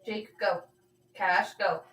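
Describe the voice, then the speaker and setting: Young woman, casual livestream chat, rambling, mid-pitched voice. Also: steady tone, takes it slowly, fairly bright tone, soft background music. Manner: rambling, trails off searching for words. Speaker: streamer reading chat.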